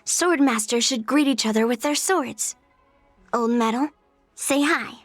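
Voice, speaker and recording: A young game swordswoman with a high, girlish voice. She is brash and earnest, stating things bluntly and matter-of-factly. The sound is dry and studio-close, with light music underneath. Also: a little slow, no echo. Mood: confident, challenging. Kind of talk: scripted game character voice lines.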